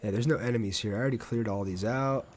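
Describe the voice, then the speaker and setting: A man's voice: on the low side, fast talker, a little hiss or hum. A man live streaming a game, thinking aloud in an informal way and chatting casually with viewers as he plays.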